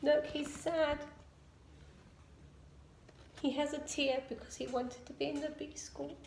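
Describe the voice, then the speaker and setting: A woman reading a children's picture book aloud in an expressive storytelling style. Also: high voice, slow, gravelly, noisy background. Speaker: storybook reader. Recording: echoey room.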